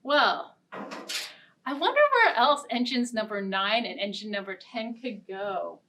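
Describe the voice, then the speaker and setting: A young American woman hosting a storytime, speaking in an animated way to young children. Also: medium pitch, slow pace, distant mic, a little hiss or hum. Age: young adult. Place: indoor storytime set with backdrop.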